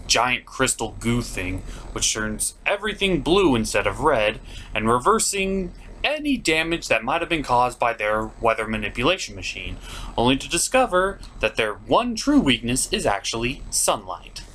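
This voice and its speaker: A man's voice: medium pitch, sing-song, normal speed, bright, forceful tone, slight background noise. A man hosting a scripted comic review show, speaking in a sarcastic, theatrical way. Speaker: host of a puppet cartoon review show.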